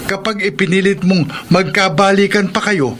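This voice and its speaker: An actor playing a frank, exasperated friend who advises in a scolding, insistent way in a Filipino radio drama. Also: male voice, sits high, normal speed, a bit bright. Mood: insistent, cautioning. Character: frank, exasperated male friend giving advice. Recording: noisy radio drama recording.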